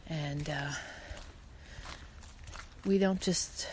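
A woman hosting a casual solo podcast, speaking earnestly and encouragingly in short phrases with frequent pauses. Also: deep voice, darkish tone. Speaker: podcast host. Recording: clearly noisy background, some clicks.

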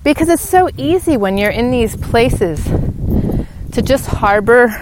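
A woman hosting a podcast in a reflective, unscripted monologue, pausing mid-sentence as she thinks, recorded outdoors on a walk. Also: fairly high pitch, expressive, noisy background. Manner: halting, pauses mid-sentence while thinking. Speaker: podcast host.

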